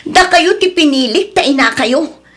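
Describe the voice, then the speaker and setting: A woman's voice: sits high, animated, normal speed, fairly bright tone. A woman playing a betrayed, wailing adult daughter in an Ilocano radio drama, speaking with a Filipino accent. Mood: anguished reproach. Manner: wailing, reproachful. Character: betrayed adult daughter back from working abroad, anguished, accusing.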